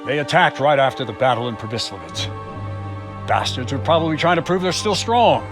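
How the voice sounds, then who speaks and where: A man's voice: mid-range voice, expressive, brisk, close to an everyday voice. Man acting a grave medieval captain over music. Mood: matter-of-fact. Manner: businesslike, reporting. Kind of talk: dramatic video game cutscene acting.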